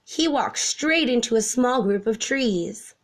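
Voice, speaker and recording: A woman reading a children's story aloud in an expressive narrating style. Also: fairly high pitch, slow pace. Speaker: storybook reader.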